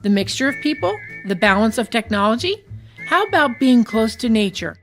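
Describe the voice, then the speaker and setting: A woman playing a quick-witted, reasonable companion with dry asides in scripted comedic radio theatre. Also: on the high side, animated, faint music behind, lightly acted. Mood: thoughtful.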